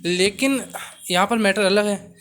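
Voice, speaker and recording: A man's voice: high-pitched, measured pace, fairly bright tone, slight background noise. A young man giving an informal explainer to viewers, mixing in English words and repeating his assurances. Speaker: exam coaching YouTuber.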